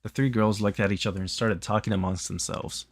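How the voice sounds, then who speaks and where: A man's voice: fairly low pitch, steady tone, fairly quick, no room sound, studio quality. A man live streaming a visual novel, reading it aloud and chatting casually.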